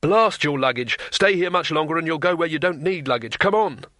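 A man's voice: a little high, normal speed, sharp tone, dry recording, clean studio sound. A man voicing a desperate, cowardly, sardonic wizard in a storybook reading, with a frantic, exasperated manner. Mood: urgent shouting.

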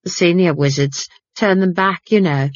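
A woman's voice: fairly low pitch, normal speed, no echo, lo-fi sound, natural voice. A woman voicing a fussy, gossipy middle-aged housekeeper who talks in an eager, chatty way.

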